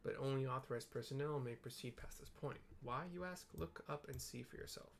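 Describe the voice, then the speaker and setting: A man plays a courteous gatekeeper from a fantasy game. The mid-pitched voice has plenty of creak and stays official, polite and firm. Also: brisk, a little hiss or hum, close to an everyday voice. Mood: explanatory. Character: polite, firm male gate guard.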